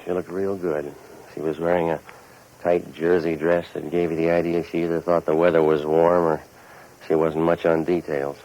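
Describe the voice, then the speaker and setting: Male actor, deep voice, cynical tough-guy investigator, deadpan wisecracks, 1940s radio drama, muffled vintage sound. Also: normal speed, slight background noise, natural voice. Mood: wry, world-weary narration. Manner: deadpan, sardonic, hard-boiled. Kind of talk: 1940s radio noir acting and narration.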